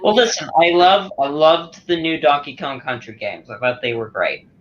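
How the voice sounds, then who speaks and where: A man chatting easily on a podcast, talking in a relaxed, agreeable way. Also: a little high, moderate pace, crowd noise. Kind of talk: casual chat. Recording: slightly muffled mic with faint hiss.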